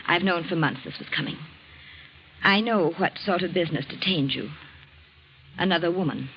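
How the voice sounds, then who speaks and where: An actress playing an anguished, accusing wife in a dramatic, imploring 1940s radio drama style. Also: female voice, on the low side, moderate pace, lo-fi sound, close to an everyday voice. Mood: hurt, accusing. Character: anguished, accusing wife clinging to a failing marriage. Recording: noisy vintage radio drama recording.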